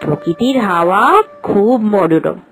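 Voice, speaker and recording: A woman's voice: fairly low pitch, lively intonation, moderate pace, vocal fry, soft background music, low-quality recording. A woman voicing a young village wife who speaks to her aunt in an affectionate, pleading way. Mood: caring. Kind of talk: animated cartoon voice acting.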